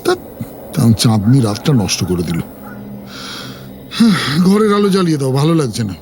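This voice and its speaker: An actor playing an uneasy, hesitant newlywed husband in a horror audio drama. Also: male voice, mid-range voice, sing-song, normal speed, darkish tone, slight background noise, natural voice. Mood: tense, irritated. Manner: hesitant, trailing off.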